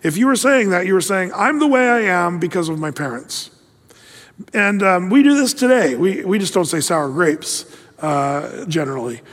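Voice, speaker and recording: A man with a high voice preaches in a conversational style, stopping often to restart a phrase. He reads scripture aloud between his own comments. His voice carries a long reverb, as in a large hall. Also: animated, moderate pace, a bit bright. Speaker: pastor. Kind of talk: verse-by-verse sermon.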